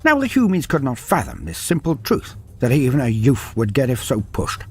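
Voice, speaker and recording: A man's voice: mid-range voice, wildly swooping pitch, moderate pace. A man narrating a humorous story in a dry, wry manner, breaking off into playful sidebars. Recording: faint music behind narration.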